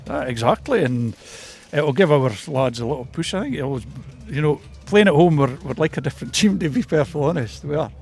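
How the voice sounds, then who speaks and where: A man's voice: on the high side, expressive, fairly quick, hardly any pauses, a little mellow. A man with a Scottish Borders accent giving a casual, hesitant, rambling interview about his club.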